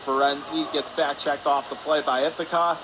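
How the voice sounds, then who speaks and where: A man with a slightly high voice calls a hockey game live for radio. His delivery is brisk and clipped, following the play moment by moment. Loud rink noise runs behind him. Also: level tone. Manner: brisk clipped present-tense calls, rising on shots. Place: indoor ice rink. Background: loud arena ambience noise.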